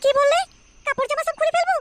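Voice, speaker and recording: A woman voicing a scolding middle-aged Bengali mother-in-law in a comedy cartoon, shrill and repetitive. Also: steady tone, fast talker, fairly bright tone, no room sound, clean studio sound.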